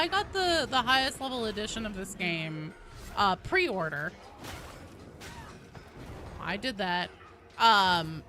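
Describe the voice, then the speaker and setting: A woman's voice: fairly high pitch, bright, forceful tone. A woman streaming a game, chatting casually in a blunt, rambling, sweary way as she plays. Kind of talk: casual chat while playing a game live.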